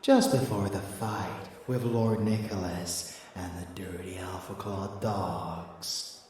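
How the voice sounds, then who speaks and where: A man playing a rebellious vampire lover in an intimate ASMR audio drama, doting and darkly scheming, in hushed murmurs. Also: low voice, steady tone, far from the mic, a little hiss or hum. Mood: dark, storytelling. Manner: slow, hushed, murmured endearments. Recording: heavy echo, music underneath.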